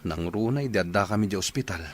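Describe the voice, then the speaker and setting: An actor playing a tired, dutiful village father and son in an Ilocano radio drama, with an Ilocano accent and a husky voice. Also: on the low side, expressive, fairly quick. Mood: subdued.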